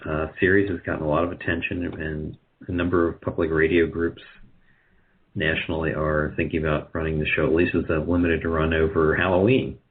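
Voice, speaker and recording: The audio is dull and band-limited. A man with a very deep voice talks casually as an interview guest. He goes along haltingly, often filling his pauses as he thinks. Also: flat delivery. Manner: hesitant, halting, with many filled pauses. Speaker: radio drama producer, interview guest. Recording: muffled, lo-fi call audio.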